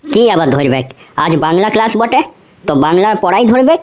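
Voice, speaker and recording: A woman plays a smug schoolboy who taunts classmates in a comedy cartoon. The voice is mid-pitched, on slightly dull, lo-fi audio. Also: big pitch swings, fairly quick, natural voice. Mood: needling.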